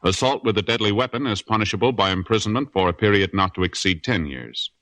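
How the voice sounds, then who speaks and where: A man giving formal, measured announcements in the style of 1950s radio. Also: on the low side, a bit bright, dry recording. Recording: vintage radio broadcast with music.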